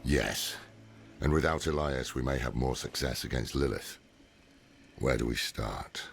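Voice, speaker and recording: An actor playing a world-weary veteran scholar-warrior in a dark fantasy game, measured and wry, with a gravelly voice. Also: male voice, heavily acted. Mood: resolute. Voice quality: deep, gravelly. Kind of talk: dramatic video game dialogue and narration. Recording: dramatic game music underneath.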